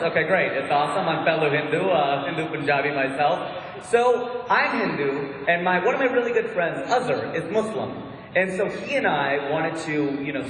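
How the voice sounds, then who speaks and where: A stand-up comedian from Ohio talks in a chatty, self-mocking way with a Midwestern American accent. His voice sits a little on the high side. It rings with heavy echo and a long reverb tail from a large hall. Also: fairly bright tone, slight background noise. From Ohio, USA. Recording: echoey hall.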